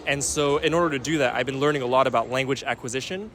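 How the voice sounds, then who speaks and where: Brief: young man from France, quick and articulate, casual outdoor chat. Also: mid-range voice, a bit bright. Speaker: street interviewee. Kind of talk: casual street interview answers.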